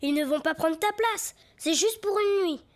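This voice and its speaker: A woman's voice: very high voice, moderate pace. A woman voicing a plucky, earnest little boy superhero in a cartoon, childlike and kind. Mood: kind, reassuring. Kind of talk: cartoon voice acting.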